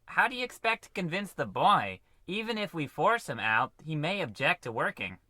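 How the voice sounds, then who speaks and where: A man plays an anxious young-sounding crewman in a radio comedy. His bright, fairly high voice swings up and down as he stammers politely at his superior. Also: very animated, normal speed, no room sound, full character voice. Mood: worried, protesting. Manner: hesitant, stammering, deferential. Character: timid, earnest, nervous male spaceship crewman. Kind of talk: comic old-time radio play acting.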